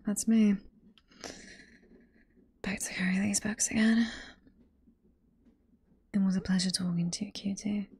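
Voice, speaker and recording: Casting: actress as teasing older female librarian, British accent, ASMR roleplay. Hushed, very airy whisper, slow and sweet. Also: mid-range voice, fairly even intonation. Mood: warm, curious. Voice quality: soft, breathy whisper.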